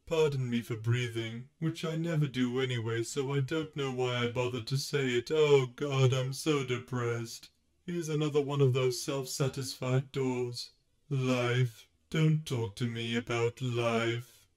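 A man voicing a gloomy, self-pitying male robot who talks in a slow, morose drone. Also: mid-range voice, steady tone, close to an everyday voice. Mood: weary, despondent. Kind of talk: audiobook character voice.

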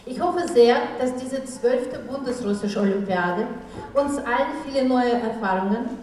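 A woman's voice: fairly high pitch, measured pace, darkish tone. A middle-aged woman giving a formal, enthusiastic welcome speech into a handheld microphone in a large hall. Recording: echoey hall, voice from a distance.

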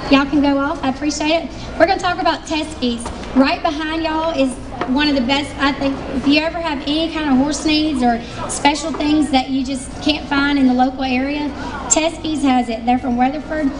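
High-voiced Southern woman emceeing a show. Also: fairly even intonation, moderate pace, noisy background. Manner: chatty, folksy sales patter with fillers. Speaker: fashion show emcee and booth owner. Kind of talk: announcing a live fashion show. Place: large expo hall stage. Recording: distant voice over loud music in a hall.

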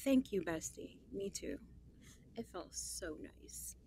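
A woman's voice: medium pitch, lively intonation, slow, dark tone, breathy edge. An actress playing a guarded but smitten young woman, chatting in sassy, slangy style with her best friend in an audio drama. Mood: dreamy, smitten. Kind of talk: casual conversational audio drama.

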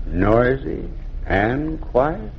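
A man's voice: mid-range voice, sing-song, very slow, slight background noise, heavily acted. An actor playing a kindly, middle-aged American president with a folksy, fatherly, musing manner in a 1940s-style radio drama. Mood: gentle, attentive. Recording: muffled old 1940s radio recording.

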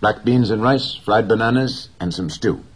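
A man voicing an earnest, devoted young fisher boy in a higher, lighter voice. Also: moderate pace, lightly acted. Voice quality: raised, brighter boyish voice.

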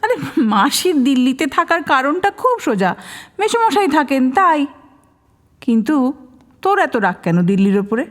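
A woman playing a sensible, caring, gently scolding young student in a romantic audio drama, with a Kolkata Bengali accent. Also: high voice, big pitch swings, moderate pace. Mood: firm. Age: young adult. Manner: questioning, mock-stern, affectionate. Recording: long reverb, music bed.

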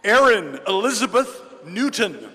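Man, name announcer, high voice, slow and clear, podium mic, echoing arena. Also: very animated, bright, forceful tone, some chatter behind. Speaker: name reader at graduation. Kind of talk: reading out graduate names. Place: large indoor arena. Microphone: podium microphone. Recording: long reverb of a large arena.

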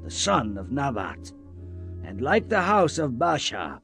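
An actor playing a stern, wrathful prophet, declaiming doom solemnly in a Bible drama. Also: male voice, mid-range voice, huge pitch swings, measured pace, vocal fry, fairly bright tone, faint music behind, heavily acted.

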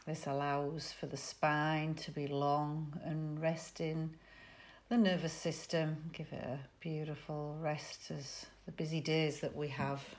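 A woman leads a guided yoga nidra meditation in a fairly low voice. Her delivery is very slow and soothing, with short instructions set apart by pauses. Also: level tone.

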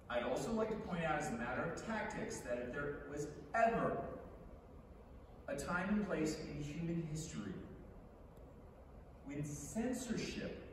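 High-voiced man speechmaking in an echoey theatre. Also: slight background noise. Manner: wry, conversational oratory. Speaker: speaker at a podium. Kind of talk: staged reading of a persuasive speech. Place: large theatre stage, indoors. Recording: echoey theatre hall from far off.

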